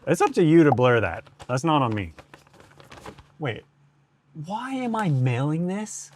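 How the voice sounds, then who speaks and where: A man's voice: a little high, very animated, measured pace, a little hiss or hum. A man chatting casually and playfully as he makes a vlog. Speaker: video creator.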